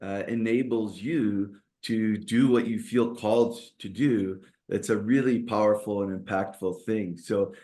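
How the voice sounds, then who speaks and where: A man's voice: on the low side, steady tone, a little slow, hardly any pauses, warm tone. A man hosting an interview over a video call, asking long, enthusiastic questions with frequent restarts. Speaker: podcast host. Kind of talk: interview host.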